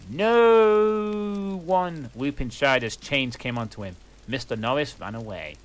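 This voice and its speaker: A man's voice: mid-range voice, animated, normal speed, fairly bright tone. A man reading a story aloud in a comic, mock-earnest manner, with a fireplace crackling behind him. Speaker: reader.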